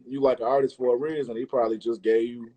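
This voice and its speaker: A man's voice: mid-range voice, little pitch movement, fast, warm tone, breathy edge, poor audio. Slangy, profane man chatting. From Chicago, United States. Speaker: interview guest, rapper. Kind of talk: casual chat.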